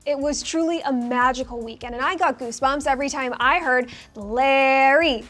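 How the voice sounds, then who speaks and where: A woman's voice: high-pitched, normal speed, fairly bright tone, a faint crowd. A woman presenting a sports show as co-host, reading scripted segments.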